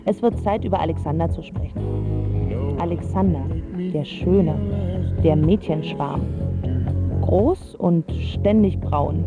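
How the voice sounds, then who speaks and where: A young woman playing a lovesick, sulky teenage girl from a wealthy family in a radio drama monologue, sardonic and husky, in clipped phrases. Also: deep voice, slow, long pauses, lo-fi sound, loud music behind the voice. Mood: sardonic, scornful.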